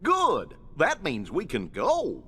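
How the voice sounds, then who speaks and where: A man voicing a kindly, loyal, bumbling cartoon dog sidekick in a video game, husky and folksy. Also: on the high side, wildly swooping pitch, slow pace, bright, forceful tone, slight background noise, heavily acted. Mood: cheerful, earnest. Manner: folksy, bumbling, good-natured.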